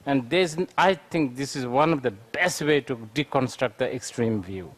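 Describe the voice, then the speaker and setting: A man's voice: medium pitch, normal speed. A man, a Buddhist teacher, answers audience questions in a lecture, thinking aloud with frequent fillers.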